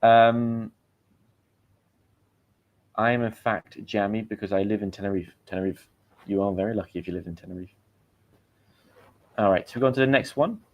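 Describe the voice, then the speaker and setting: A man with a British accent, talking through a relaxed livestream in a fairly low voice. He chats easily with viewers and stops now and then to correct their word choices, the way a language teacher would. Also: moderate pace, pauses often, lo-fi sound. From Britain. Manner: chatty, corrects learners' vocabulary. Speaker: English teacher hosting a livestream. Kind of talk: casual livestream chat. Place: home in Tenerife.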